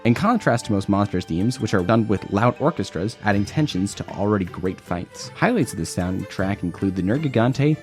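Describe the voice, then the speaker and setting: Lively low-voiced man reviewing, music underneath. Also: moderate pace, few pauses. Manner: sweary, sardonic. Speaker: video game reviewer. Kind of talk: casual scripted narration of a video review. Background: background music.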